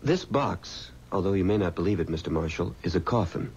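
Courteous earnest assistant undertaker, halting emphatic speech, vintage radio drama, dull noisy recording. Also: male voice, low-pitched, expressive, a little mellow. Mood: solemn, measured. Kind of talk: 1970s radio drama acting.

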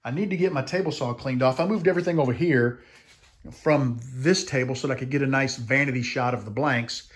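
A man in his fifties giving a casual update from his home woodshop, talking in a relaxed, chatty way with frequent "uh" fillers, filmed with a camera held at arm's length. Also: medium pitch, brisk. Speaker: woodworking channel host. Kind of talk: casual shop update vlog.

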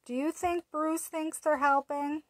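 A woman's voice: high voice, fairly even intonation, dry recording. A woman in her thirties reading a picture book aloud to toddlers, asking them questions in a sing-song way, recorded on a webcam in a small room. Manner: slow, sing-song, asks little listeners questions. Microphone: webcam at arm's length.

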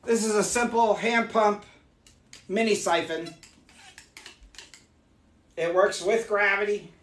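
A man in his forties, hoarse from losing his voice, chatting upbeat as he demonstrates mead making live in a small room. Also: high-pitched, takes it slowly, fairly bright tone, roomy sound. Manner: chatty, upbeat, explains as he works. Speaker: meadery owner hosting a live stream. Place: small home meadery room, indoors.

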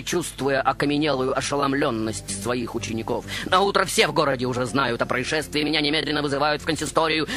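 A man playing a proud, stubborn baroque composer, telling his story as a theatrical radio monologue with rhetorical pauses. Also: on the high side, sharp tone. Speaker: radio show host in character. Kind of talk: dramatic first-person radio monologue.

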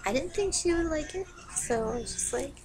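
A woman's voice: sits high, lively intonation, fairly quick, vocal fry. Hyper young woman rambling at a webcam. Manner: rambling and hyper, filler-heavy. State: voice low and rough from the cold. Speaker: vlogger. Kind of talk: casual webcam rant. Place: bedroom, indoors. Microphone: built-in webcam mic. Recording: noisy webcam recording with TV behind. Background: TV playing in the background.

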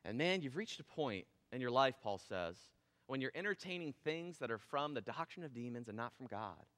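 Man in his thirties, pastor, bright, casual animated sermon, reverberant church hall. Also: medium pitch, moderate pace. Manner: casual, animated preaching with gestures. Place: church stage with drum kit.

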